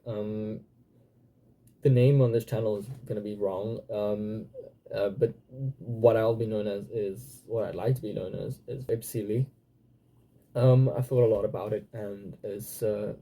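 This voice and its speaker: A man's voice: fairly low pitch, dark tone. A man in his twenties with a South African accent, talking casually to camera in a hesitant way with many fillers and restarts, sometimes swearing. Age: 20s. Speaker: channel host. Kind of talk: casual personal vlog.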